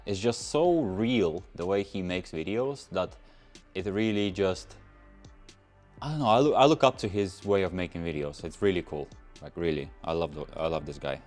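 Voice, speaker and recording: A young Latvian man with a very low voice answers viewer questions in loose, rambling English full of restarts. Faint music plays behind him. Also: normal speed, a little mellow. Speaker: car channel host. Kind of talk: casual livestream Q&A reading chat.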